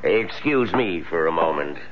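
A dull vintage radio recording with faint noise. a tactful older law-firm boss in a radio play, fatherly and low in pitch. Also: expressive, measured pace. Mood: considerate. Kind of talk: 1970s radio drama acting.

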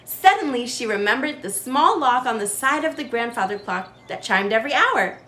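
Young lady doing kids storytime, high voice, super animated, sing-songy reading. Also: normal speed, reverberant. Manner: animated, sing-song emphasis. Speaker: children's story reader. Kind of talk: expressive read-aloud storytelling for kids.

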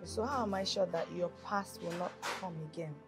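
A woman's voice: fairly low pitch, normal speed, loud music behind the voice, close to an everyday voice. A woman playing a guarded, sceptical ex-wife in a film drama. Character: guarded, sceptical ex-wife being courted again.

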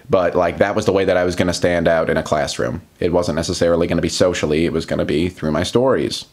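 Male vlogger, American, thirties, slightly deep voice; casual confessional monologue, self-deprecating and meandering. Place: small plain room indoors.

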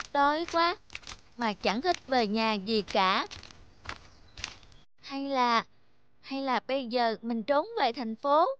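A woman voicing a stubborn city boy in an animated folk tale, with a plaintive, childlike way of talking. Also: high voice, no room sound, highs cut off, exaggerated voice. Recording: music under the voice.

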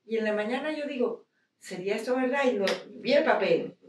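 A woman's voice: fairly high pitch, lively intonation, a little fast, a little hiss or hum. Venezuelan woman asking a question from the crowd, hesitant, far off mic, echoey hall. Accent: Venezuelan Spanish. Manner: hesitant, many restarts. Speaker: audience member. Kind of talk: question from the audience.

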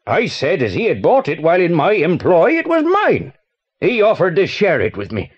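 An actor playing an eccentric, hot-tempered middle-aged British art dealer in a children's audio play, theatrical and well-spoken. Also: male voice, high-pitched, huge pitch swings, moderate pace, slightly rough, highs cut off, heavily acted. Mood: rueful, recounting.

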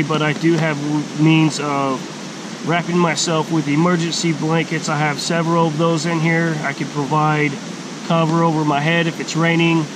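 A middle-aged man giving a casual, plain-spoken outdoor gear walkthrough in a forest by a stream, speaking slowly with halting pauses. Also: a little high. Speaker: hiking vlogger. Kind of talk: casual gear show-and-tell. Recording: steady outdoor background hiss.

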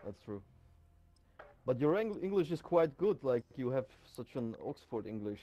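A man's voice: medium pitch, very animated, normal speed, lo-fi sound. A man chatting casually with his teammate during an online game, agreeable and tentative in his suggestions. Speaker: teammate on voice chat. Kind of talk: casual gaming chat.

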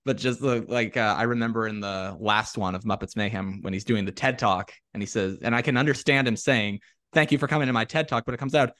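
A man's voice: fairly low pitch, steady tone, brisk, a bit bright. A man hosting a podcast, asking long, winding questions in an eager, casual way. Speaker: podcast host. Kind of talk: casual interview chat.

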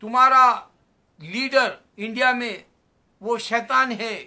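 A man, giving an emphatic, exhortatory religious talk to camera in measured phrases. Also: extremely high pitch, sharp tone. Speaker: religious vlogger. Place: small indoor room at home. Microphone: webcam or phone at arm's length.

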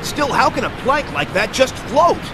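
A man playing a tough, hot-headed young echidna in a video game, blunt and combative, with a gravelly voice. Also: very high voice, sing-song, moderate pace. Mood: exasperated. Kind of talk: video game cutscene acting. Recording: game music behind voice.

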